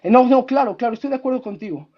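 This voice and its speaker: A man's voice: very high voice, very fast. Mexican-accented male debater, self-styled historian, repeats himself and talks down, muffled lo-fi sound. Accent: Mexican Spanish. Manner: interrupts, repeats words, patronizing "mi estimado". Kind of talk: heated debate on a call.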